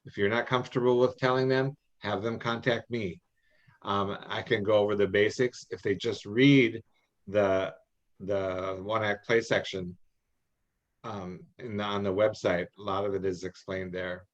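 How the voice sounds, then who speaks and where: A middle-aged man with a fairly low voice, heard through slightly muffled meeting audio. He talks in a folksy, halting way, repeating words as he gives practical advice informally. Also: fairly even intonation. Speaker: experienced contest judge and adviser. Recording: muffled, band-limited online meeting audio.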